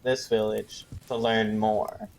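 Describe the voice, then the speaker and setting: A man playing a tabletop game, improvising story ideas in a casual, hesitant and halting way. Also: fairly low pitch, little pitch movement, vocal fry, a little mellow, low-quality recording.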